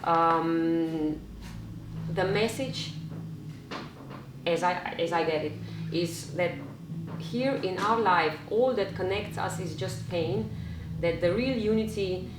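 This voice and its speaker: A woman's voice: lowish voice, slow pace. A woman with a Slovenian accent interviewing an author in English, hesitating often with fillers as she frames her questions. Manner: hesitant with many fillers, frequent backchannel murmurs. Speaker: interviewer and event moderator. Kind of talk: moderating a literary talk. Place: hall at a library literary event. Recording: roomy hall with background noise.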